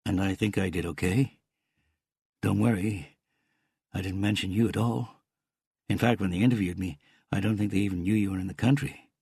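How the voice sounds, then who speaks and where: Edgy young man in an audiobook thriller, read by a male narrator, defensive and sarcastic, husky, close dry sound. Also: deep voice, normal speed, pauses often, a little mellow, no echo, voice pushed up. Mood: nervous, defensive. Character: young anxious sarcastic man, the guilty nephew.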